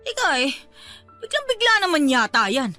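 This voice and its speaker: A woman's voice: sits high, very animated, normal speed, pushed and bright, faint music behind, full character voice. An actress playing a stubborn, possessive young mother, demanding and protesting in Filipino radio drama style. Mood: upset, insistent. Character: stubborn, possessive young mother refusing to let her suitor go.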